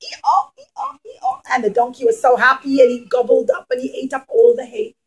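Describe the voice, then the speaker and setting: A woman's voice: sits high, flat delivery, quick pace, breathy edge. A woman storyteller teaching young children through an interactive religious tale, emphatic and repeating phrases for effect.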